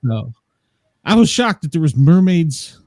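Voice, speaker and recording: A man hosting a casual interview, chatty and quick to laugh. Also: a little high, big pitch swings, a little mellow, no room sound, clean studio sound. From Washington, D.C.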